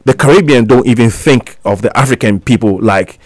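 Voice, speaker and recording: A man's voice: mid-range voice, a little fast, dry recording, clean studio sound. A man in his thirties from Ghana, a guest on a college radio interview, speaking earnestly and persuasively with frequent restarts. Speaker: guest, talk show host. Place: small college radio studio.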